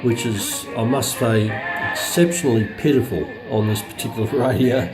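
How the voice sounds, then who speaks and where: A man presenting a product review in a casual, unscripted way, explaining features in a hesitant, halting manner. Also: medium pitch, loud music behind the voice.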